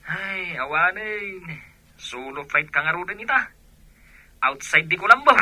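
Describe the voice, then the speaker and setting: A nosy, incredulous Ilocano friend heard down a telephone in a radio drama, played by a man. The voice is highish, very sharp and a little husky, with no room sound. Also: lively intonation, unhurried, no room sound, exaggerated voice.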